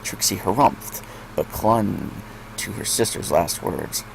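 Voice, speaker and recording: A man's voice: sits low, lively intonation, slow, gravelly, slight background noise, low-quality recording. A man narrating fan fiction expressively, as a story reader.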